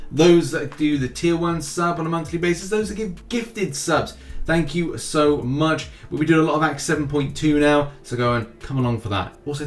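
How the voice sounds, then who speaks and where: A man hosting a gaming news update for his viewers in a casual, chatty style with jokey asides and emphatic, sing-song delivery. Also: a little high. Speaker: host of a mobile game news channel. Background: background music.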